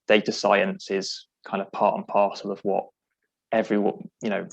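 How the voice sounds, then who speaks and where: A man's voice: lowish voice, flat delivery, normal speed, creaky. A man from the UK with a British accent, speaking as a panelist in a discussion, hesitant with many restarts. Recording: dull lo-fi audio.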